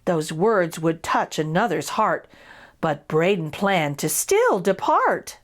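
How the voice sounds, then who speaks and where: Expressive woman reading aloud, lively pitch. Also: slow pace. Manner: expressive, with asides to young listeners. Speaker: children's story reader and host. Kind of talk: expressive picture book read-aloud.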